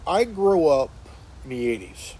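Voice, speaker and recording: A man in his late forties talking in a casual monologue, halting with many fillers and restarts, sitting in a chair indoors. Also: a little high, big pitch swings, mellow, breathy edge, low-quality recording. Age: 40s. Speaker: commentary channel host.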